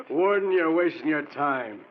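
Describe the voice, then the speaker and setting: An actor playing a ruthless, cocky gangster boss with a commanding, tough-guy manner in a vintage radio drama. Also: a little high. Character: cocky, ruthless male gangster boss who cannot be killed. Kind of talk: 1940s radio drama acting. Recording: muffled, noisy vintage radio recording.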